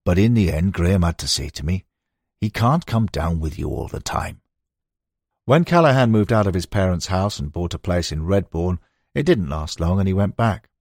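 A man's voice: deep voice, expressive, moderate pace, darkish tone, no echo, clean studio sound. A man reading scripted narration as an audiobook narrator, moving between prose and quoted recollections.

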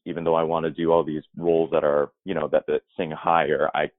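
A man who performs in musical theater answers interview questions thoughtfully, rambling a little and often restarting his sentences in a low voice. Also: flat delivery, a little fast, dry recording. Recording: dry, tinny phone-like line.

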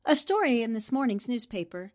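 Sharp lady columnist, played by a woman, 1940s radio drama: highish, very lively pitch, theatrical, knowing, teasing. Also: a little fast. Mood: brisk, knowing. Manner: theatrical, condescending endearments. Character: shrewd, worldly, teasing newspaperwoman columnist.

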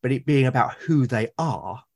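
A man from Britain, a podcast guest, talking eagerly about his characters, with lots of restarts and a slightly husky voice. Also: medium pitch, lively intonation, normal speed. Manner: enthusiastic, rambling with many restarts. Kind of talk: casual podcast conversation.

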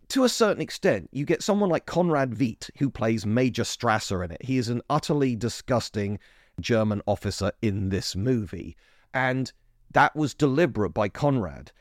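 A man's voice: fairly low pitch, darkish tone, no room sound, studio quality. A man hosting a podcast in a casual, chatty way, often restarting his sentences and leaning hard on key words. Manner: chatty, self-interrupting, emphatic. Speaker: podcast host. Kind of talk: casual history chat.

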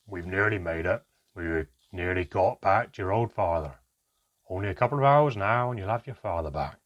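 A man voicing a gruff, protective male companion with a deep, rough voice, in a storybook reading. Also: expressive, moderate pace, dry recording, highs cut off. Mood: soothing.

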